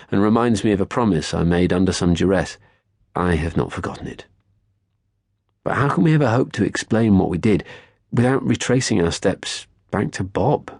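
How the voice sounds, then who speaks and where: A man reading scripted first-person literary fiction as an audiobook narrator, measured, articulate and wry. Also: low voice, darkish tone, dry recording, studio quality.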